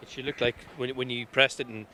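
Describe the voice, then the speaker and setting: A man's voice: mid-range voice, flat delivery, a little fast, pushed and bright, a little hiss or hum, low-quality recording. A man doing casual post-race interviews outdoors, chatty, with clipped questions. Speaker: racing TV interviewer. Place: outdoors at a racecourse.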